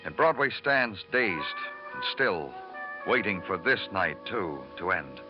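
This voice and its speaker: A hardened, melancholy city detective in an old radio crime drama. His very low voice is measured and poetic. It sounds dull and muffled, with prominent music. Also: sing-song, exaggerated voice. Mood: somber, poetic. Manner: poetic, measured, brooding delivery. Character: hardened, melancholy New York homicide detective. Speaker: narrator and lead actor.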